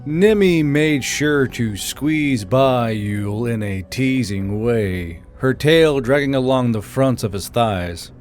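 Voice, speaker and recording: A man's voice: medium pitch, expressive, slow, hardly any pauses. A man reading scripted fiction narration as the narrator of an audiobook. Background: soft background music.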